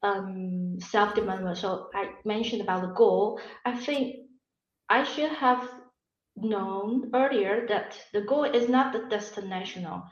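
A woman reflects gently on her path, pausing now and then to find her words. Her voice comes through roomy and somewhat dull, like audio from a video call. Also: mid-range voice. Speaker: panel guest. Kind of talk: casual reflection.

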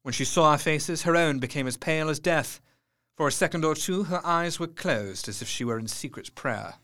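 Man narrating a tense audio drama. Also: on the high side. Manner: tense, measured storytelling with hushed dips.

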